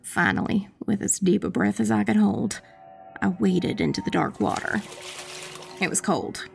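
A woman playing a determined witch who narrates her own dark fantasy audiobook tale in a measured, dramatic, husky and creaky voice. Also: lowish voice, heavily acted. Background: music.

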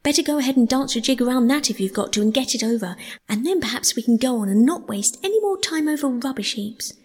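A woman's voice: high voice, sing-song, normal speed, breathy edge, in a large hall, voice pushed up, heavily acted. A woman voicing a sulky, literal-minded male mole from a children's storybook, complaining in a pettish, miserable manner. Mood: sarcastic, pettish.

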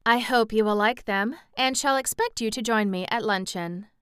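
A woman's voice: a little high, normal speed, a bit bright, clean tone, no echo, studio quality, lightly acted. A woman voicing a gracious, regal young princess ruler who speaks formally and kindly, in a storybook reading.

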